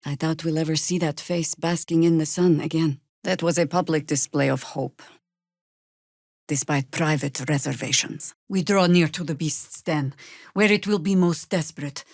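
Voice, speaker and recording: A woman playing a seasoned older druid warrior in a video game, wry and commanding, with dry, formal, archaic phrasing and a measured, weathered delivery. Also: low-pitched, steady tone, a little mellow, dry recording. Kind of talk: video game character acting.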